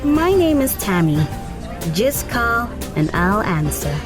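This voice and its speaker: A woman's voice: a little high, sing-song, slow. A woman playing a polite flight attendant who sweetly deflects a pushy passenger. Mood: courteous. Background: music.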